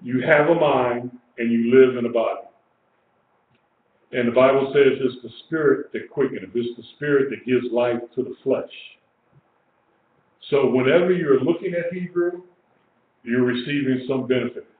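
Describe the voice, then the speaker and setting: A man's voice: mid-range voice, moderate pace. An older man in his sixties teaches scripture informally to a small group. He is emphatic and restates his phrases. The sound is thin and narrow, with room echo and faint noise behind him. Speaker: religious teacher. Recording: echoey, tinny lo-fi webcam stream with hiss.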